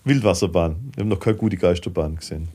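A middle-aged man with a fairly low voice speaks as a guest in a casual podcast interview. He is candid and colloquial, happy to expand on his answers in everyday language. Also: lively intonation, fast, dark tone, husky. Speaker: guest, filmmaker and managing director.

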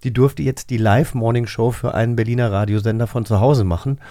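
A man co-hosting a radio drama podcast, telling anecdotes in a relaxed conversational way with many fillers. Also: mid-range voice, fast. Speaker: podcast co-host. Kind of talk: casual conversational chat. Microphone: studio microphone.

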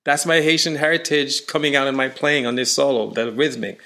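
A man from Canada, a touring musician, chatting in an interview and telling stories with rising excitement. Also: mid-range voice, brisk. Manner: animated storyteller, voice rising when excited. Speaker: musician guest. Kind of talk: casual interview.

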